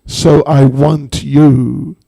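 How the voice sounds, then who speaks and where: Casting: male storyteller as a solemn, threatening snake spirit, extremely slow and gravelly, words drawn out for weight. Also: on the high side, level tone, warm tone, dry recording, full character voice, rough recording. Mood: stern, commanding. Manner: slow, drawn-out, portentous delivery. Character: menacing divine snake of Lord Shiva, solemn and threatening.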